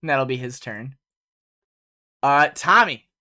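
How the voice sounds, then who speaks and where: Man, game master, bright tone, lively, filler-heavy. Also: mid-range voice, slow. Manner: animated, laughs often, many fillers. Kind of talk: narrating a tabletop game.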